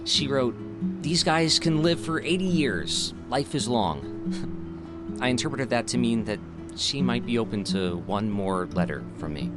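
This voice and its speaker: A man's voice: medium pitch, expressive, a little slow, music in the background, natural voice. An actor playing a young man, a hopeful theater director, who talks with his father and narrates in an earnest, reflective way. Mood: reflective narration. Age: young adult. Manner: earnest, reflective, laughs easily. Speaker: narrator and lead character Sam. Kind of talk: audio drama acting and narration.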